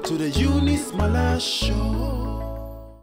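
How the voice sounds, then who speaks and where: A woman speaking the show's opening lines slowly and declaimingly. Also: a little high, animated. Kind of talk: spoken show opening over music. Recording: loud afrobeat music behind.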